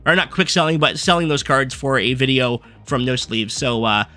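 A young man giving a casual, rambling rundown of his game team from his desk, his voice going hoarse as he is losing it. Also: medium pitch, sharp tone. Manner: fast, rambling card-by-card rundown with fillers. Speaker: gaming YouTuber. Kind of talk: casual gameplay commentary. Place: small room at a desk.

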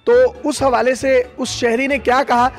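A male reporter with a Pakistani accent and a very high-pitched voice. He presents to camera in a teasing, playful way into a handheld microphone, with music behind him. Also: level tone, a bit bright. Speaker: reporter hosting a segment. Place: emergency call-centre control room. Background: background music.

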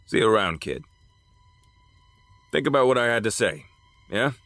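Man playing a swaggering, volatile crime boss over music. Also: mid-range voice. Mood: threatening. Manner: taunting, condescending, drawn-out threats. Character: menacing male crime boss, smug, arrogant, cynical. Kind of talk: audio drama acting.

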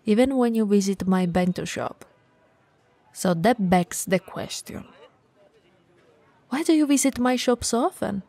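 A woman acts out a towering, friendly shop owner in an ASMR roleplay. She talks slowly and softly, with a mellow, breathy voice and an easygoing, teasing manner. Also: medium pitch, no room sound. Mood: casual, sweet. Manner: relaxed, soft, teasing, with breathy whispers.

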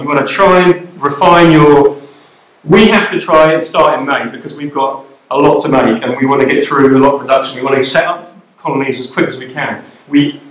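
A man giving a live beekeeping talk to a club, conversational and checking in with the audience, recorded by a camera at the back of a darkened lecture room. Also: a little high. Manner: conversational, checks in with "okay?" and "you know?". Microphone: far camera at the back of the room. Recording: very echoey room, camera far off.

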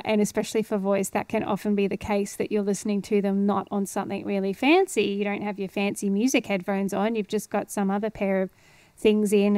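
A woman's voice: mid-range voice, a little mellow, smooth, no echo. A woman in her thirties explaining things in a casual, encouraging, conversational tutorial style, speaking close into a studio microphone. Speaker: host of an audio tutorial series. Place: indoor home studio. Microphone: close studio microphone on boom arm with headphones.

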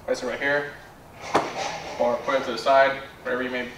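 A young man giving a casual product demonstration in a plainspoken, low-key way, recorded indoors on a distant camera mic. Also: flat delivery, brisk. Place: bare indoor room with carpet. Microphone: far camera. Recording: roomy echo, distant mic, background noise.

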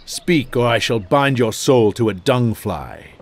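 An actor playing a stern, pious necromancer lord in a fantasy game, with pompous, measured, archaic speech. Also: male voice, mid-range voice, expressive, a little hiss or hum. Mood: menacing, haughty. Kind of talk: dramatic fantasy game dialogue. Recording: loud music under the voice.

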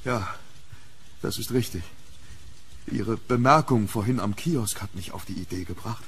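A man playing a fearful, hunted gentleman in a German horror radio play, speaking with dramatic urgency. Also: fairly low pitch, measured pace, husky, exaggerated voice. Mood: grim. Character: hunted, fearful English gentleman haunted by a demon.

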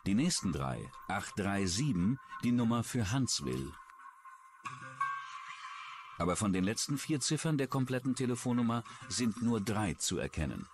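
A man's voice: on the low side, sing-song, normal speed, soft background music. A man reading scripted true-crime documentary narration.

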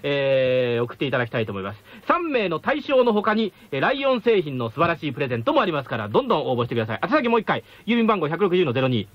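Fast, highly animated male radio host. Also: no echo. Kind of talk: lively radio contest hosting. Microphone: radio studio microphone. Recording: muffled off-air 1980s radio recording.

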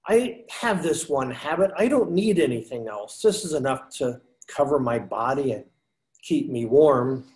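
A man's voice: mid-range voice, expressive, a little slow, a little mellow, close to an everyday voice. Man playing an earnest, singsong pious friar. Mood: earnest musing. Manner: musing aloud to himself. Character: pious, charitable young friar, earnest and humble. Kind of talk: amateur readers theater. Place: indoors at home, video call.

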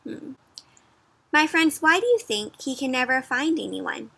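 A woman in her twenties doing an interactive storybook read-aloud for young children, animated and sing-song, often asking questions. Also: sits high, a little slow, creaky, breathy edge. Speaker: children's storybook reader and host. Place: outdoors in a leafy yard.

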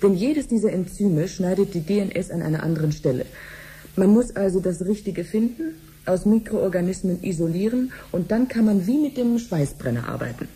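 An actress playing a friendly, patient cancer researcher in a radio drama, explaining things clearly like a teacher. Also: female voice, medium pitch, lively intonation, normal speed, warm tone. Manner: didactic, clearly phrased explaining. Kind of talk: 1970s German radio drama acting.

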